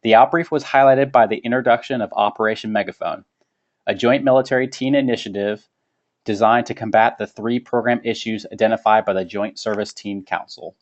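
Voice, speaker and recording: A man's voice: on the low side, steady tone, fairly quick. A man reading a formal scripted webinar presentation as its presenter. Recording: dull lo-fi webinar audio.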